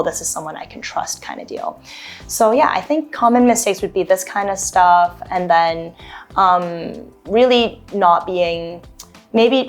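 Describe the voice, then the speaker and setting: A woman from Toronto, an actor chatting loosely as a guest, giving advice with many ums and restarts. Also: lowish voice. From Toronto, Canada. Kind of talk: casual interview advice.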